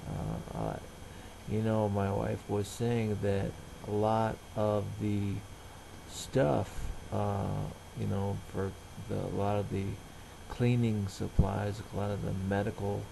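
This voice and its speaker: Slow, low-voiced man with gravelly creak. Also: dark tone, slight background noise, low-quality recording. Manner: halting, rambling, plain-spoken. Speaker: vlogger. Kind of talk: casual narration of everyday tasks.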